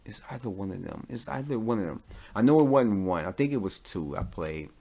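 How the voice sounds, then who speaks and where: A man's voice: lowish voice, expressive, a little fast, vocal fry, mellow, husky. A man talking casually as a podcast host, giving opinions in an informal, rambling way and often repeating himself.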